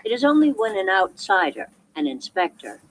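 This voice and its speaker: A woman reading a self-help audiobook in a measured, clearly articulated and emphatic way. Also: mid-range voice, sing-song, slight background noise, rough recording. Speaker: audiobook narrator.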